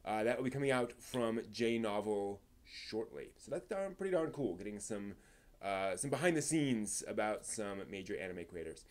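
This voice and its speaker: An American man hosting a live stream, reading out news in a casual, halting, off-the-cuff way. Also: on the low side, expressive.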